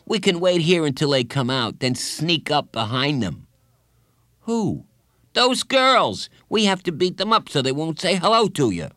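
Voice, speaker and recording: Schoolboy bully, played by a man: boastful and whiny, high, very animated, emphatic children's storybook voice. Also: a little slow, no echo, studio quality, heavily acted. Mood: scheming, eager. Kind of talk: children's audiobook character voice.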